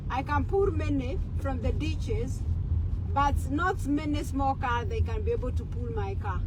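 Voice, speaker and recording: Kenyan woman, high and slow-spoken, hesitant casual vlog from a moving car, loud road noise. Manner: hesitant, often searching for words. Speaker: vlogger. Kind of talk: casual chat while driving. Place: inside a car on country roads.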